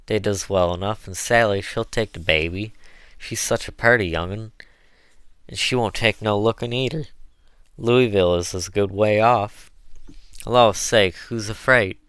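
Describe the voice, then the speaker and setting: A man's voice: on the low side, measured pace, fairly bright tone, clean tone, dry recording, voice pushed up. A man voicing an eager, good-humored woman, an enslaved cook, in folksy dialect for an audiobook. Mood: insistent, cheerful. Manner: folksy dialect with mispronounced words. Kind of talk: audiobook character voice.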